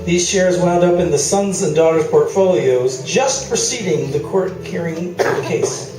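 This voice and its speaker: A man's voice: high-pitched, moderate pace, dark tone, lightly acted. An actor playing a skeptical, needling male sports commentator, wordy and sarcastic in a live comic radio play. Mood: sardonic. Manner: dry sarcasm, mock-formal wordiness. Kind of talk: staged talk-show debate, comic radio play. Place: live theatre, mic at a distance. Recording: echoey, distant stage recording with music.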